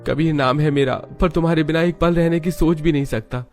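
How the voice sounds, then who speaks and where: A man's voice: a little high, steady tone, brisk, soft background music, voice pushed up, natural voice. A man voicing an earnest, lovesick young rich man who pleads in a cartoon story. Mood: pleading, lovesick. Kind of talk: animated moral story character voice.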